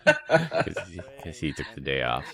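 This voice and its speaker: A man's voice: rumbling low, a little slow, vocal fry. A man hosting a casual tech podcast, joking and laughing often as he runs through the stories. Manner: laughs often, jokey and animated. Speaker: podcast host.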